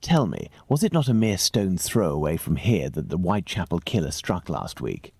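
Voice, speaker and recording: An actor playing a keen, self-assured gentleman detective with an English accent in a video game, precise and formal in his manner. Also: deep voice, very animated, moderate pace, creaky, breathy edge, no echo, full character voice. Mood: probing.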